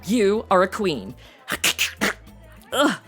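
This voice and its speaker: A woman's voice: medium pitch, big pitch swings, very slow, fairly bright tone, breathy edge, faint music behind, exaggerated voice. A woman playing a brash, sarcastic young temp posing as a showgirl, talking in blunt modern slang in a noir comedy audio drama. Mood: bluffing.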